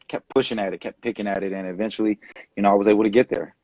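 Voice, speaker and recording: A man in his late twenties from California, talking casually as an interview guest on a video call, relaxed and rambling with many fillers. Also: lowish voice, very fast, no echo. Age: late 20s. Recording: dull dry video-call audio.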